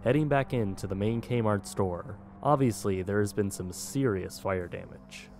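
A man reading a measured, informative voice-over narration for an exploration video. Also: lowish voice, music in the background.

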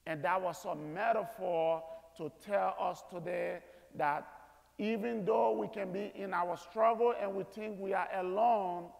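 A man in his thirties preaching a sermon from an auditorium stage in an earnest, deliberate manner. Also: high-pitched, creaky. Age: 30s. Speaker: guest chapel speaker. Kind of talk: sermon, preaching to a school chapel. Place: large auditorium stage, indoors. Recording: echoey auditorium with long reverb.

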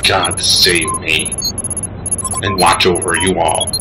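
Guy playing a deep grave president, formal and composed, radio play, loud music under him. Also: flat delivery, takes it slowly. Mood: solemn. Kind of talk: amateur audio drama acting. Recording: loud music and aircraft drone behind.

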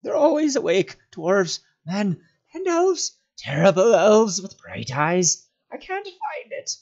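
Wretched cave creature, high-pitched whine, hissing rasp, cunning and pitiful, wildly swooping, storybook character, muffled recording. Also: male voice, wildly swooping pitch, slow pace, heavily acted. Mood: sobbing, tormented. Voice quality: raspy, hissing whispers breaking into shrill whine. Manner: whimpering, shrieking, speaks of himself as "we".

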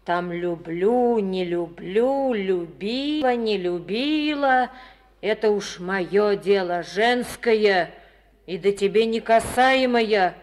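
An actress playing a proud, sharp-tongued village woman in a Soviet radio play, speaking in a folksy, teasing manner. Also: medium pitch. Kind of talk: 1950s radio play acting.

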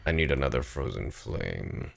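Very deep, gravelly male game streamer. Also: sing-song, moderate pace. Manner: profane, jokey, reads aloud theatrically. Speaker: streamer, gamer. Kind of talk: casual livestream game commentary.

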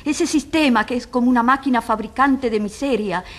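An actress playing a solemn, compassionate narrator at a tribunal, grave and rhetorical in scripted dramatic narration. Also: female voice, fairly high pitch, fairly quick, close to an everyday voice. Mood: solemn, indignant. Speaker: narrator and moderator of a dramatized trial.

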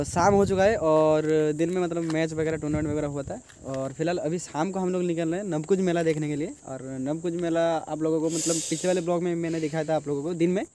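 A man's voice: fairly high pitch, mellow, a little hiss or hum. A young man talking casually to his viewers, filming himself outdoors at night with a phone held at arm's length. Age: young adult. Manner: quick, chatty, addressing viewers directly. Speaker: vlogger. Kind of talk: casual vlog narration.

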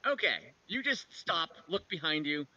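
A man improvising halting, conversational narration as the game master of an online tabletop game. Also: fairly high pitch, big pitch swings, bright, forceful tone. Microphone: video call. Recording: dull, band-limited video call audio.

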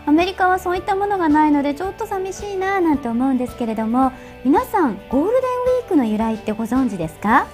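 A woman with a very high voice reads scripted television news. She articulates politely and clearly, with soft music faintly behind her. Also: lively intonation, very fast, few pauses. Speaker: news presenter. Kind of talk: scripted news presentation and narration. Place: TV studio.

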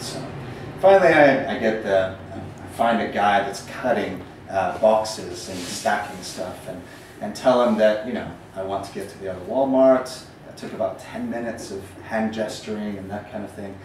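Thirties male, American accent, casual lecturing poet, slightly low voice, distant mic with faint room noise. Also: moderate pace, heavy reverb. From Northern Ireland. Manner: rambling, frequent ums, self-deprecating humor. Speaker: poet giving a talk. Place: small gallery room, indoors.

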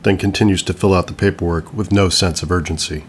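A man's voice: low-pitched, steady tone, fast, slight background noise. A man reading scripted stage directions as the narrator of an audio play.

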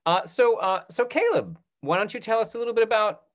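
A man's voice: high-pitched, brisk. A man in his thirties casually hosting a question-and-answer panel over a video call. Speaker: panel host. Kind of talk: casual moderated Q&A. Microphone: video call microphone.

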